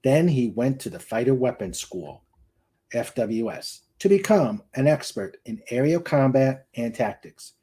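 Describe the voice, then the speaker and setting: A man's voice: medium pitch, expressive, a little slow, mellow. A man giving a dramatic narration of a novel, with emphatic delivery and the odd stumble. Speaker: host and narrator.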